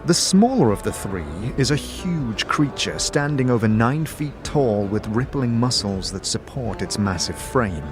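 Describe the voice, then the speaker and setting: Animated male fantasy narrator over music. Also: medium pitch, unhurried, hardly any pauses. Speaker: game master and narrator. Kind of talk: dramatic second-person fantasy narration. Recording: music under the voice.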